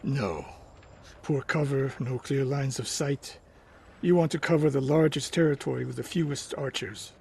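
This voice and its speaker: An actor playing a stern, world-weary older samurai archery master in a video game, gruff, terse and authoritative. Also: male voice, mid-range voice, normal speed, darkish tone, slight background noise, close to an everyday voice. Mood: measured, tactical. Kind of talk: dramatic video game acting. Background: music.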